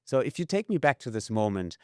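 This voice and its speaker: A man hosting an interview podcast, setting up his questions with a measured recap before asking. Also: mid-range voice, very animated, dark tone, no echo, clean studio sound. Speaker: podcast host.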